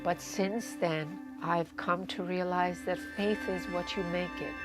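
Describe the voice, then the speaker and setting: Low-voiced woman over loud music. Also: steady tone, measured pace. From Tehran, Iran. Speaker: interviewee. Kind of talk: personal testimony, reflective talk. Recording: prominent music bed under speech.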